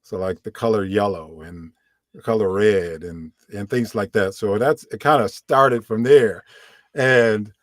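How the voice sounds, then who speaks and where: Deep-voiced male guest, loose and chatty, dry close recording. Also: a little slow, no echo. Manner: relaxed, many fillers and restarts. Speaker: audiobook narrator, guest. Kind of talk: casual interview talk.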